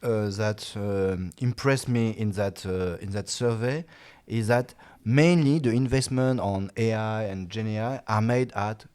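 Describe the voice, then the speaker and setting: A man, a guest expert in an interview, speaking haltingly with many "uh"s and restarts. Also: fairly low pitch, darkish tone, clean tone. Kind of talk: casual interview talk.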